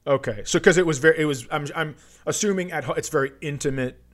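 A man's voice: a little high, fast talker. A man hosting a comedy podcast, a comedian with a blunt, teasing, profane way of bantering.

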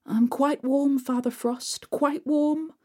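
A woman voicing a kind, brave young peasant girl, pitched higher than her own voice. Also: fairly even intonation, slow pace, warm tone. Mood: shivering, brave.

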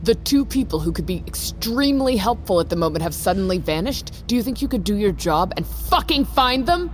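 A woman's voice: medium pitch, big pitch swings, fairly quick, slight background noise, lightly acted. An actress playing an intense, demanding woman who runs a tech company, in a naturalistic audio drama. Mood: exasperated, furious. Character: driven, imperious woman running a tech company.

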